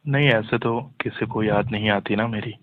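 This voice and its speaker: Male on a phone call, monotone casual chat, narrow tinny line. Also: lowish voice, normal speed, dry recording. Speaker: call recipient in a phone conversation.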